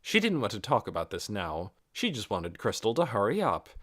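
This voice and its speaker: An audiobook narrator reading a novel in a dramatic, expressive storytelling manner. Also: medium pitch, moderate pace, no echo, clean studio sound.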